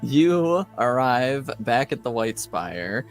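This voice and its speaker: A man narrating a tabletop game as game master, measured and full of pauses. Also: mid-range voice, sing-song, faint music behind.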